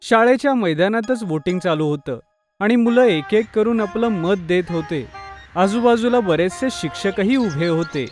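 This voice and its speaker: A man's voice: high voice, lively intonation, normal speed, a little mellow, faint music behind. A man reading scripted narration for a children's moral story.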